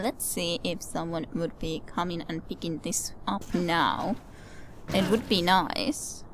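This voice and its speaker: A woman's voice: lowish voice, slight background noise. A woman giving casual game commentary, musing aloud hesitantly and repeating her words. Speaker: let's play commentator.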